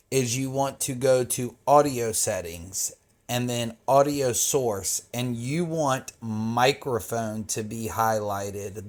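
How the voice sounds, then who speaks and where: A man giving an informal, step-by-step how-to narration as the host of a screen-recorded tutorial. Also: medium pitch.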